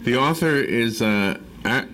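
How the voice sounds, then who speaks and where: A man's voice: medium pitch, expressive, measured pace, fairly bright tone, slight background noise. A jovial male radio host who laughs easily while chatting casually. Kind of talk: casual chat. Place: radio studio.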